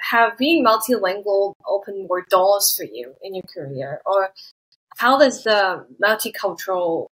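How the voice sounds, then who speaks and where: A woman's voice: medium pitch, fairly bright tone, husky, poor audio. A woman interviewer asking friendly, upbeat questions.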